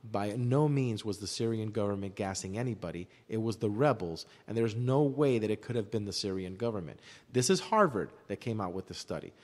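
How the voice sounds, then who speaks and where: A man with a fairly low voice, recorded in a hall-like space with long reverb. He explains his views at length as a commentator in a discussion. He repeats phrases for emphasis as he goes. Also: lively intonation, a little fast.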